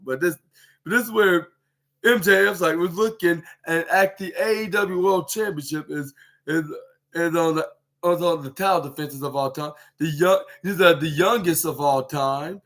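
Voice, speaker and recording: Guy rambling thru his podcast recap, lots of uh and like, bit high, sharp tone. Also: normal speed. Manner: rambling, heavy with fillers and restarts. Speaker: podcast host. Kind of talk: casual solo show recap.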